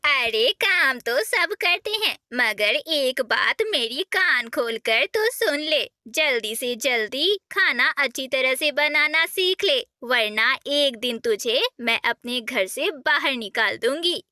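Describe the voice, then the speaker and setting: A bossy old mother-in-law bird scolds and grumbles in a cartoon, voiced by a woman. Her voice is high, lively and bright, delivered slowly and close to the mic. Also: no echo, exaggerated voice. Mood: scolding.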